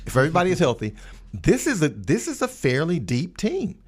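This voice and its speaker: A man's voice: fairly high pitch, wildly swooping pitch, fairly quick, breathy edge. A man in a casual sports roundtable, speaking as an analyst and often repeating words as he builds his point. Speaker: basketball radio analyst and panelist.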